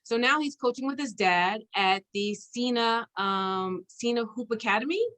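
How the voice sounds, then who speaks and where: A woman hosting a conversational interview, chatty and halting as she searches for her words. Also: fairly high pitch, fairly bright tone. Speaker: interview host. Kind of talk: conversational interview with an informal guest introduction.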